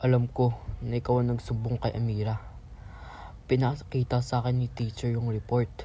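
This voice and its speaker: A man acting as an indignant, principled high school boy in an amateur radio drama. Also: level tone, normal speed, dark tone, slight background noise, close to an everyday voice. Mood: accusing.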